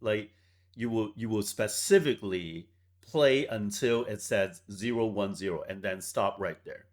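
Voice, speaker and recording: A man in his forties who grew up in Hong Kong, a software founder telling stories in a measured way as an interview guest. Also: lowish voice. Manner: measured, tags points with "right?". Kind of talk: reflective storytelling interview.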